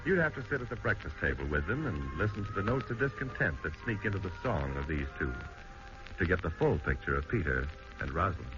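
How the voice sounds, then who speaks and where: A man's voice: sits low, very animated, quick pace. A man narrating a radio drama from a script in a 1940s broadcast style. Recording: muffled old radio broadcast, music behind.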